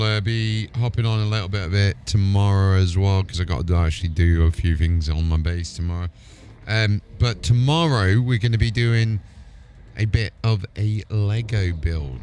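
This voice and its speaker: A man hosting a gaming livestream, chatting casually in an informal, rambling way. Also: lowish voice. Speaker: game livestream host. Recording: music playing behind the voice. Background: music.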